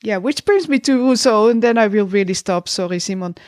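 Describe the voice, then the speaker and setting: A woman from the Netherlands in a casual intellectual conversation, halting as she thinks aloud. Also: mid-range voice, expressive, a little mellow, no room sound, clean studio sound. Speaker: panel discussion participant.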